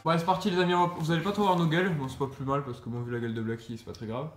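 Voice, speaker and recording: A young man co-hosting with quick, mocking jabs at his friend. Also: on the high side, steady tone, faint music behind. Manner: mocking, quick teasing remarks. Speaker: co-host. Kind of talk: teasing banter. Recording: echoey room with background noise.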